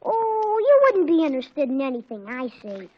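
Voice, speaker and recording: Squeaky, swooping pesky little boy. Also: sing-song, moderate pace. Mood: sly teasing. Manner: repetitive, coy baiting. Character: pesky, sly little neighborhood boy, gossipy tease. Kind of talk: 1940s radio comedy acting. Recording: muffled 1940s radio recording.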